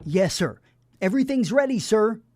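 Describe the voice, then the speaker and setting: An eager young male assistant with a high, lively voice, acted by a man. He sounds boyish and deferential, every line polite, in the manner of old-time radio drama. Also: normal speed. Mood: eager, respectful.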